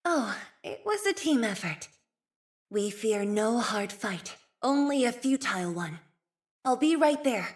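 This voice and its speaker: A young woman playing a composed, earnest scientist and leader in a video game, with a soft, slightly breathy voice and measured, formal, thoughtful phrasing. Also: fairly high pitch, animated. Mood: gracious, encouraging. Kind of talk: video game character voice lines.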